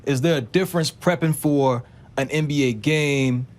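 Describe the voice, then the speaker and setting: An American man hosting a casual interview, asking questions in relaxed, slangy conversation. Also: a little high, normal speed. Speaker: interviewer and show host.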